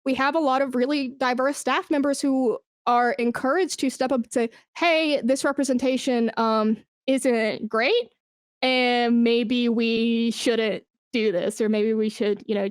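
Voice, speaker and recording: A woman's voice: a little high, fairly even intonation, normal speed, clear, resonant tone, no echo, studio quality. A woman speaking as an interview guest in casual, informal conversation, telling things with slangy asides. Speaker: podcast guest, esports brand manager.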